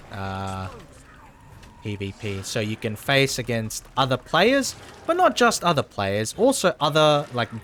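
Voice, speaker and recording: A man's voice: mid-range voice, fairly bright tone, a little hiss or hum. A man casually commentating on a game as he livestreams, rambling and often repeating his phrases. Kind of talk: casual gameplay commentary and chat.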